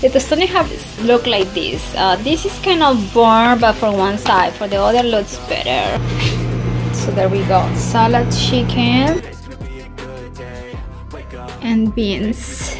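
A woman in her forties with a Colombian Spanish accent, talking slowly and haltingly through a recipe in her home kitchen, filmed on a phone held at arm's length. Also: a little high, lively intonation. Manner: halting, explaining step by step with fillers. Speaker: vlogger. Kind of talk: casual cooking vlog. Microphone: phone camera at arm's length. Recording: music playing over the talk.

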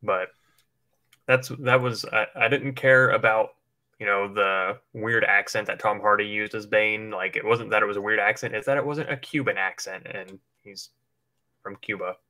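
A man with a fairly low voice and a bright, forward edge. He talks like a livestream co-host in casual, rambling conversation, informal and loose in his wording. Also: fairly even intonation, moderate pace. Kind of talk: casual chat speculating about films.

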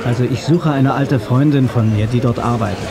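A man's voice: mid-range voice, fairly quick, crowd noise, natural voice. A man playing a cocky, impatient hero in a radio play, with a brash, flippant manner. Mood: casual. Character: cocky, impatient male hero driver, easily irritated. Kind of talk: German radio play acting. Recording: noisy car and street ambience, cassette.

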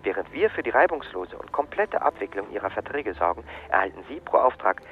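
A smooth-tongued hotline agent in a radio play, talking quickly in a lowish voice. He is unfailingly courteous, evasive and insistent, full of stock service phrases. The sound is a little dull. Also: sing-song. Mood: salesy, upbeat. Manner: unctuous, formulaic sales patter, insistent. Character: relentlessly polite, evasive male call-centre hotline agent. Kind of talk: radio drama acting, scripted phone dialogue.

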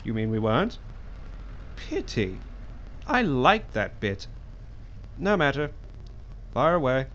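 Male actor as self-satisfied corporate boss, mid-pitched, glib, smarmy, audio drama, slight background noise. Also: very animated, slow pace, pauses often, fairly bright tone. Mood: breezy, evasive. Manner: glib, patronizing, oily. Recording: dull, noisy audio.

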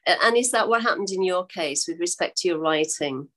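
An older woman hosts an interview over a video call from a room at home. Her voice sits a little low, and she talks in an encouraging way with drawn-out phrasing. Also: lively intonation, fairly bright tone. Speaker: host. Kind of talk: interview hosting. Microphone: video call webcam.

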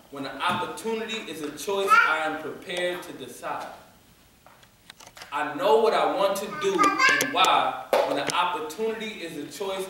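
A young man runs a youth poetry workshop, his somewhat high voice casual and encouraging as he repeats lines slowly. He is picked up by a camera far across a hard-walled room. The sound is very echoey, with heavy background noise. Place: large community room with hard walls.

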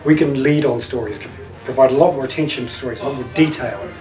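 A British man in his thirties talks in a conversational interview manner at a medium pitch. The room rings a little and music plays clearly behind him. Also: fast talker, darkish tone. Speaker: radio news journalist. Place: open newsroom lounge. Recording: roomy office sound, music underneath.

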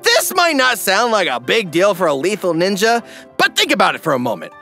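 Male comedy narrator, high and bright, theatrical emphasis and wry asides over a music bed. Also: wildly swooping pitch, fairly quick, gravelly. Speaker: recap narrator.

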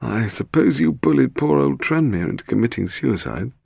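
A man's voice: medium pitch, animated, moderate pace, creaky, darkish tone, husky, no room sound, studio quality, pitched above the performer's own voice, heavily acted. A man voicing a nervous, indignant in an audiobook, prim and plaintive, forever protesting. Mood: nervous, peevish. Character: fussy, nervous, bald upper-class Englishman, indignant and wounded.

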